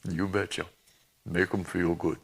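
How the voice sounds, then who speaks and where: A man in his eighties, a veteran guest reminiscing in plain-spoken, halting, folksy talk. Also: deep voice, little pitch movement, creaky. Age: 80s. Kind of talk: reminiscing in a conversation.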